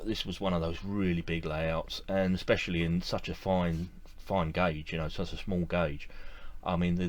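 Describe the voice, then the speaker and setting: A middle-aged man talking to the camera from a room with display shelves, recalling things he liked in a relaxed, enthusiastic way with lots of fillers and repeated words. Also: low voice. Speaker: model railway collector vlogger. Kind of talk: casual to-camera review.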